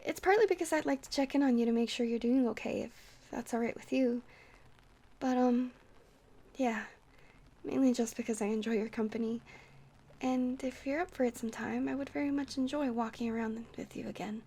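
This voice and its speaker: A woman's voice: fairly high pitch, fairly even intonation, fairly quick. A woman playing a shy, friendly vampire who rambles hesitantly and flirts sweetly in an ASMR roleplay. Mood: shy, warm. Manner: hesitant, rambling, soft-hearted, awkwardly stammering.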